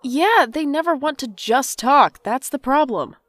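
A woman's voice: high voice, lively intonation, unhurried, a bit bright, no room sound. A woman acting as a sardonic, stubborn older sibling in a naturalistic audio drama, with a dry, sarcastic delivery. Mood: sarcastic, bitter. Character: sardonic, guarded older sibling, stubborn and bitter about parents.

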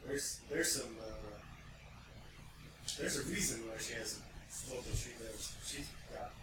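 A man's voice: mid-range voice, measured pace. A man co-hosting a podcast in casual, rambling, jokey chat, picked up from several feet away in an echoey, noisy room. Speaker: podcast co-host. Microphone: picked up by co-host's mic about five feet away. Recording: distant, very echoey, noisy off-mic pickup.

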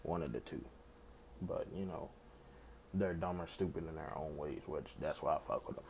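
A young man with a raspy voice hosting his own podcast in loose, rambling casual chat, swearing freely. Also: extremely low pitch, normal speed, darkish tone, a little hiss or hum. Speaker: podcast host.

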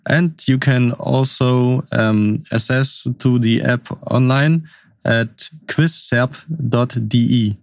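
A young man from Lusatia with a German accent, speaking non-native English as a podcast guest, answering in a halting, casual way. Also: medium pitch, steady tone, no echo, studio quality. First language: Upper Sorbian.

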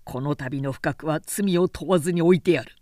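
A woman's voice: sits low, normal speed, a little mellow, dry recording, studio quality, close to an everyday voice. A woman voicing a commanding, ambitious male warlord with a curt, imperious manner in a historical story. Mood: imperious, pleased.

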